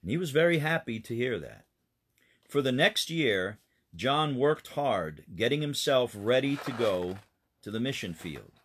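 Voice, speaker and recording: A man with a mid-pitched voice reads a story for children. His delivery is expressive and dramatic, as a storyteller's would be. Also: a little slow, slightly rough. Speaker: pastor reading a children's story.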